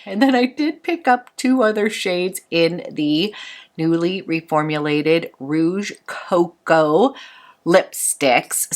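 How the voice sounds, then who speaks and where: A woman beauty vlogger chatting brightly through a makeup tutorial, a little congested from a cold. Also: fairly low pitch. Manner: bubbly and chatty, fond of "a little".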